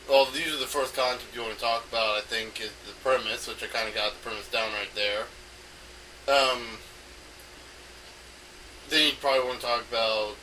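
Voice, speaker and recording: A man with a strikingly sharp, bright voice talks off the cuff in a hesitant, rambling way, working out his ideas aloud. His pitch sits in a middle range. He sounds set back from the microphone in an amateur recording. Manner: hesitant, halting, thinking aloud. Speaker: amateur game designer recording an audio log. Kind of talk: unscripted rambling explanation. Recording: noisy home recording, distant from mic.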